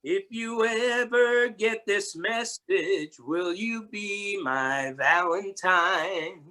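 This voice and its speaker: Guy with a high bright voice reading rhymes super slow. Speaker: readers theatre performer. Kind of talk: reciting rhyming verse.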